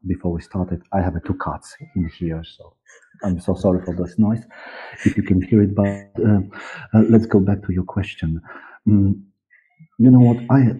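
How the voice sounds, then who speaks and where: Low-voiced Polish man, muffled call. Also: warm tone, breathy edge. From Poland. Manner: halting, repeats words while searching. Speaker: interview guest, audio director and actor. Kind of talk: conversational interview answers. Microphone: headset or desk mic on video call. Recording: muffled, band-limited video call audio.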